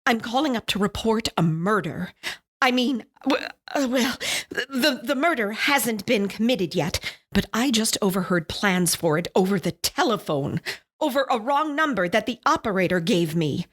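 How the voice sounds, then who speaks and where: A high, swooping voice of a nervous New York wife and invalid, acted by a woman, in a suspense radio play. She is demanding and self-pitying, stammering with fret and quick to turn indignant. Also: expressive, a bit bright. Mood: flustered, urgent. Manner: fretful, indignant, flustered with stammered restarts. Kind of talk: suspense radio drama acting.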